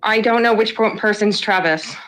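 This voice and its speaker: A woman's voice: mid-range voice, moderate pace, fairly bright tone, low-quality recording. A woman over forty arguing bluntly in a heated online debate. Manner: blunt and argumentative. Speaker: debate participant.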